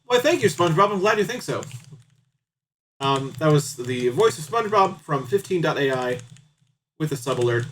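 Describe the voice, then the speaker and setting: Playful male streamer, slightly high voice. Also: sing-song, moderate pace, long pauses, fairly bright tone. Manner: playful, chatty, addresses viewers. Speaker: live streamer. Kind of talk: casual game stream commentary.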